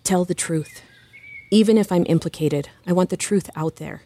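A woman's voice: lowish voice, normal speed, mellow, lightly acted. A woman playing a firm, principled, protective mentor in a naturalistic podcast drama, speaking directly and with assurance. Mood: firm, sincere. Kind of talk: naturalistic fiction podcast acting.

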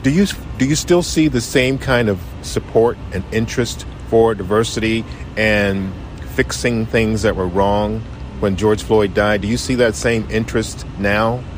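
A man hosting a podcast, narrating in a measured, plain-spoken way and asking questions. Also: lowish voice, a little hiss or hum. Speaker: podcast host. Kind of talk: scripted narration and interview questions. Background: music.

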